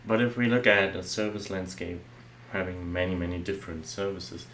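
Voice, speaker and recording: Low-voiced German man explaining software. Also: fairly even intonation, normal speed, slight background noise. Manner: loose and conversational, many "well" and "uh" fillers. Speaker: software architect presenting. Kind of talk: explanatory slide talk.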